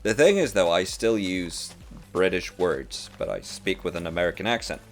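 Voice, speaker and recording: Deep-voiced Norwegian man with a sore throat. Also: expressive, moderate pace. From rural Western Norway. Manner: chatty, rambling, many restarts. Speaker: streamer. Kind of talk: casual chat while gaming. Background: faint music.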